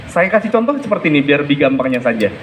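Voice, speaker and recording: A high-voiced man speaks as a storytelling coach. He explains point by point, stressing and repeating what matters, with some background noise around him. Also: very animated, racing, narrow, tinny audio. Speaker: storytelling coach and judge giving feedback. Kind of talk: spoken feedback with demonstrations.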